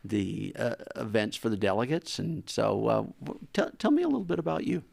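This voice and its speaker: A man's voice: mid-range voice, huge pitch swings, normal speed, gravelly, a little mellow. A man hosting a podcast, hesitant and repeating words as he introduces his guest. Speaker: podcast host. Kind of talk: interview host.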